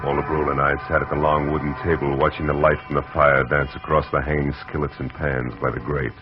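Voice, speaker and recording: An actor playing a world-weary private detective who narrates in a dry, laconic, hard-boiled way, in a 1940s radio drama on an old lo-fi broadcast. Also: male voice, a bass voice, flat delivery, brisk, over music.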